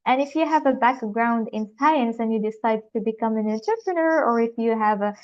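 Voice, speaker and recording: A woman's voice: on the high side, brisk. A young woman from Morocco, a youth leader and trainer, gives an online workshop presentation with some small hesitations. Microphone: video call. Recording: slightly dull, lo-fi video call audio.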